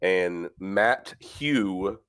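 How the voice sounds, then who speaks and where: A man's voice: sits low, very slow, fairly bright tone, clean tone, dry recording, studio quality. A young man hosting a casual sports podcast, a bit congested from a cold, rambling with many fillers and swearing. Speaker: podcast host.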